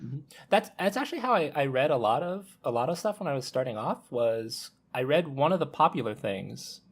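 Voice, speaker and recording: A man on a podcast panel sharing casual anecdotes, pausing often with ums. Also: mid-range voice, sing-song, slightly rough.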